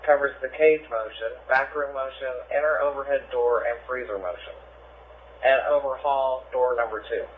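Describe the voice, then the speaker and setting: A man working police radio dispatch, his medium-pitched voice squeezed through a thin, phone-like scanner channel. He reads out call details in procedural style. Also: normal speed, a little hiss or hum. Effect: two-way police radio.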